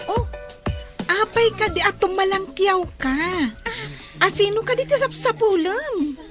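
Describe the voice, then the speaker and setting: A woman's voice: extremely high pitch, animated, a little slow, music in the background. A woman acting an inquisitive, teasing young confidante in a radio drama. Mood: curious.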